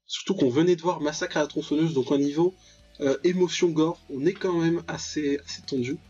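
Husky man doing ironic game commentary. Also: on the high side, steady tone, brisk, a little mellow, muffled recording, soft background music. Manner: ironic, reacts aloud and paraphrases on-screen text. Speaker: let's player, channel host. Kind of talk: casual joking gameplay commentary of a visual novel.

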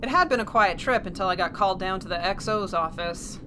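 A woman's voice: mid-range voice, a little fast, very noisy, close to an everyday voice. A woman playing a wry, matter-of-fact emergency shuttle pilot in an audio drama. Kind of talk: audio drama first-person narration and dialogue.

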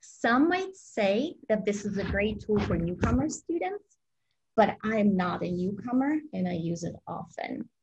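A woman's voice: medium pitch, sing-song, unhurried, dull audio, noisy background. A woman whose first language is Russian, presenting an educational webinar over a video call in an upbeat, explanatory way with frequent ums.

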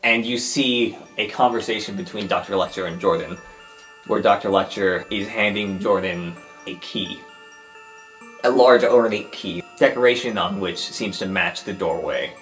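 Male game master, low voice, improvised roleplay narration, loud music underneath. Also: normal speed.

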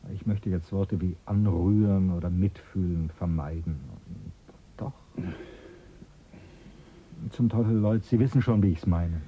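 A man's voice: sits low, breathy edge, phone-quality sound. An actor playing a blunt, formal spaceship crewman in a radio play.

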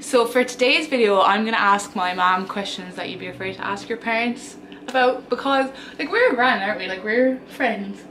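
A woman's voice: fairly high pitch, normal speed, a bit bright, a little hiss or hum, poor audio. A young Irish woman hosting a casual get-ready vlog, chatty and giggly, in a small bedroom. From Ireland. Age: young adult. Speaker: vlogger, host. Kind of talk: casual chat.

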